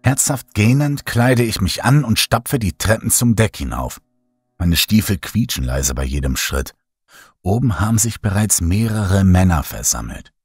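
A man reads a horror story in first person, slow and hushed with dramatic pacing. His voice is husky and lowish, with lively swings in pitch. The sound is dry and close, with faint music underneath. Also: dry recording. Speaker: narrator.

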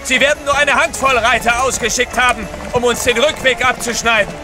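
An actor playing a bold Russian courier in disguise, decisive and commanding, in an audio drama. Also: male voice, extremely high pitch, steady tone, brisk. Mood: urgent. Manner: decisive, commanding, sure of himself. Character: bold, resolute Russian courier posing as merchant guide. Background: dramatic music.

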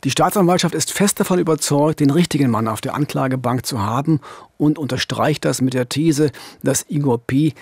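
A man in his fifties with a slightly husky voice co-hosts true-crime storytelling in a conversational way, close on a studio microphone. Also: fairly high pitch, brisk, a little mellow, no room sound. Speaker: true-crime podcast co-host. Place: dark radio studio. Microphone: studio microphone on boom arm, headset monitoring.

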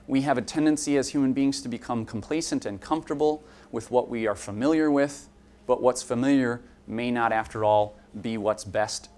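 A young male college instructor lectures in an earnest, explanatory way, moving his hands as he talks. His voice sits at an ordinary middle pitch for a man. It is captured from across a conference room. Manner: earnest, explanatory, gesturing while talking. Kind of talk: lecture to students.

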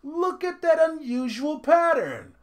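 A man's voice: very high-pitched, sing-song, slow, pitched above the performer's own voice, full character voice. A man voicing an admiring villager in a storybook, speaking in an awed, lingering way. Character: admiring villagers marvelling at fine cloth.

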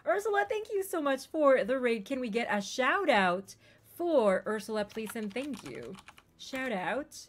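Bubbly, excitable woman streamer. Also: a little high. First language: not English. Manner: excitable, bubbly, quick storytelling. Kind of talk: casual stream chat.

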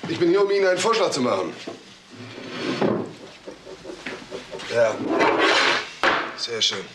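A measured, shrewd police detective. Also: male voice, on the high side, big pitch swings. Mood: coolly pressuring. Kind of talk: German crime radio drama acting. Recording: clearly noisy track with clicks.

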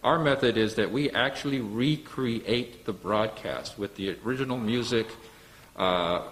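A middle-aged man with a fairly low voice gives a live interview as a director. He explains earnestly and casually, halting as he goes, his mouth behind a cloth mask. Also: a bit bright, poor audio. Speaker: radio play director, interview guest. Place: indoor theater set. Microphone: speaking through a cloth mask.

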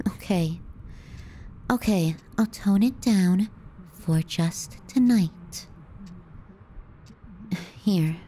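A woman playing a teasing, callous, clingy younger sister in an intimate ASMR roleplay, sardonic and often hushed. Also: lowish voice, very slow, pauses often, slight background noise. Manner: sardonic, needling, dropping into hushed murmurs.

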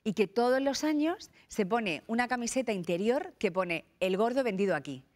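A woman in her thirties hosting a TV talk show from a bright studio, chatty and animated, talking over her guests. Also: fairly high pitch, moderate pace.

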